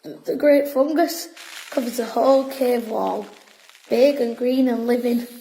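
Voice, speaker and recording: A woman's voice: fairly high pitch, unhurried, vocal fry, dark tone, slight background noise, rough recording. A woman playing a bossy, boastful wartime boy who tells spooky tales, in an audio drama. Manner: officious, storytelling.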